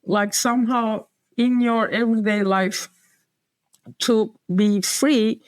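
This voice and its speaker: Woman, Turkish accent, interview guest, hesitant, restarts often, fairly high voice, dry close sound. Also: steady tone, slow pace, no echo, low-quality recording. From Turkey. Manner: hesitant, restarts and circles back. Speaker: guest, designer and publisher. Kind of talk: casual interview conversation.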